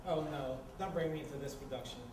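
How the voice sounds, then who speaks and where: A man's voice: fairly high pitch, quick pace, close to an everyday voice. A man acting a wry, needling, unbothered housemate in a comedic staged reading. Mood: defensive, wry. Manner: sardonic, needling. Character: wry, teasing, unbothered male housemate, messier one. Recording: distant, very echoey room, noisy ambience.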